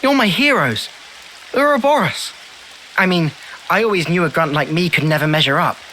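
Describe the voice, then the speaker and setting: Eager, high-voiced young soldier. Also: male voice, lively intonation, moderate pace, bright, forceful tone, a little hiss or hum, low-quality recording. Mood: starstruck, excited. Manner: earnest, hesitant. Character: eager, nervous young male soldier who idolizes heroes. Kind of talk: game cutscene voice acting.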